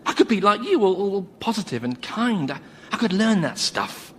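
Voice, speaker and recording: An actor playing a vain, self-pitying damned sinner in a radio comedy, whining and pleading melodramatically. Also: male voice, high voice, sing-song, moderate pace, a little hiss or hum. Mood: desperate pleading. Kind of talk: studio radio comedy acting.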